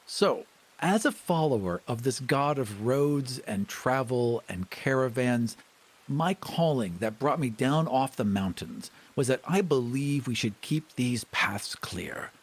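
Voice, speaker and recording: A man with a husky voice bantering and narrating casually as a player in a tabletop game. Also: medium pitch, expressive, slow pace. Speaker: tabletop role-playing player.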